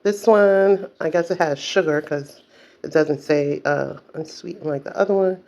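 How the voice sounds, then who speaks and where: A woman's voice: deep voice, moderate pace, a little mellow, muffled recording. An older woman vlogging casually at home, chatty, with many fillers and self-corrections. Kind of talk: casual chat to camera.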